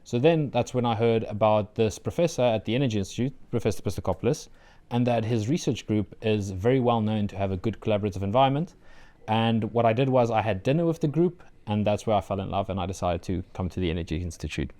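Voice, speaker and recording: A young man from South Africa with a South African accent, Afrikaans his first language, answering interview questions about his doctoral studies in an earnest, measured way with frequent fillers. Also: on the low side, warm tone. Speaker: PhD candidate, interviewee.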